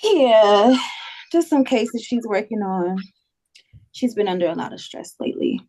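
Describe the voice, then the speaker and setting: Actress as helpful, casual female friend, mid-range voice with a mellow, relaxed tone. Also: mid-range voice, unhurried, poor audio. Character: easygoing, helpful woman friend, casually chatty. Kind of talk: table read of a screenplay.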